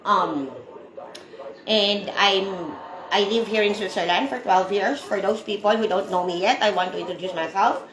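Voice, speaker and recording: A woman's voice: mid-range voice, moderate pace, reverberant, background noise. A woman in her forties from the Philippines with a Filipino accent, chatting loosely to viewers on her livestream, laughing often. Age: 40s. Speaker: livestream host. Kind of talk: casual livestream chat with viewers.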